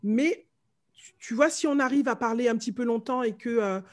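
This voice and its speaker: A middle-aged woman from Martinique with a light Martinican accent, a vocal coach telling stories in a casual interview, animated and fond of mimicking voices. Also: fairly high pitch, moderate pace. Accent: light Martinican French.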